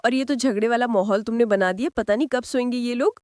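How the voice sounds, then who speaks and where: A woman's voice: a little high, quick pace, a little mellow, no room sound, studio quality, lightly acted. A woman storyteller voicing a secretive, bossy young sister who speaks in an urging way. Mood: urgent.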